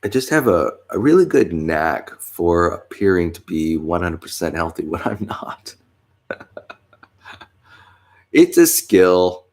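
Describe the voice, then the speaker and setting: A man's voice: low-pitched, big pitch swings, takes it slowly, pauses often, a little mellow. A man vlogging in a rambling, casual way, congested with a stuffy nose, with many fillers and small chuckles.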